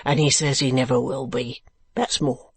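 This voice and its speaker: A reader voicing a coarse, squat male keeper from a storybook, gruff and blunt, with uneducated speech. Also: medium pitch, steady tone, normal speed, no echo. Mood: stolid.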